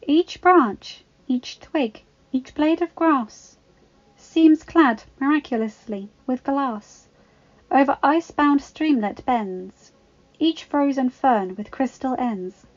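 A high, eager teenage girl from a storybook, voiced by a woman narrator. She is cheeky and loving, with an anxious streak. The sound is slightly muffled, with music underneath. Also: animated, slow pace, full character voice. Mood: reciting brightly.

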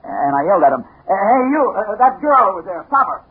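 A man acting in a 1950s radio mystery as a haunted, obsessed man telling his story in a halting, urgent, dramatic way. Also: high-pitched, big pitch swings, husky, heavily acted. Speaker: narrator and lead actor in a radio mystery. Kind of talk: 1950s radio acting, first-person narration. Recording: narrow, noisy 1950 radio recording.

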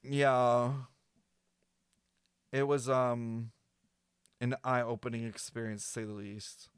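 A young man streaming his reactions, close on the mic with a dry sound. His voice is mid-pitched and his delivery is campy and theatrical, full of deadpan exaggeration. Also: slow pace, smooth, dry recording. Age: young adult. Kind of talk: casual reaction commentary. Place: small indoor streaming room.

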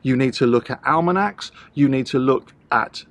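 A man in his forties, a sailing vlogger, chatting casually outdoors in a relaxed, rambling way. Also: mid-range voice, moderate pace, dry recording. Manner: relaxed, rambling, thinks aloud with restarts. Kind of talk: casual chat. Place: outdoors on a boat and a rocky shore.

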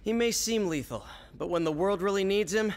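High-voiced young wisecracking superhero. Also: male voice, brisk. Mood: earnest, joking. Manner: quippy, upbeat. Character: wisecracking, earnest young male masked superhero. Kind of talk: video game cutscene acting.

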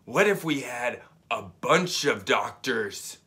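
A man's voice: medium pitch, animated, slow, sharp tone. Playful man with swooping intonation. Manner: playful, dramatic. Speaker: co-host of a children's read-aloud show. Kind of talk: comic, casual banter. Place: small indoor room, on a couch.

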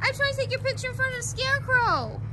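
Woman, bright sing-song pet talk, unhurried, phone mic with clear street noise. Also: very high-pitched, animated. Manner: coaxing, baby-talk to a pet. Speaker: dog owner filming her dog. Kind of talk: casual talk to her dog. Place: home then outdoor street market. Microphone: handheld phone camera.

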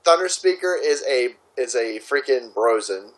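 A man's voice: mid-range voice, lively intonation, normal speed, far from the mic. A man joking casually with friends over a group voice call with a noisy microphone.

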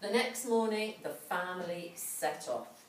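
A middle-aged woman reading a story aloud to a child, dramatically, with pauses and questions. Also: medium pitch, expressive. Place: large indoor school room with hard floor. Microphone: distant camera microphone. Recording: echoey room, distant mic, background noise.

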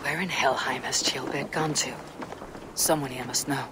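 A woman playing a hardened Viking warrior in a video game, with a low, gruff voice and a blunt, terse manner. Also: steady tone, normal speed, noisy background. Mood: brisk, searching. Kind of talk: video game dialogue acting. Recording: clear outdoor ambience behind voice. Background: outdoor game ambience.

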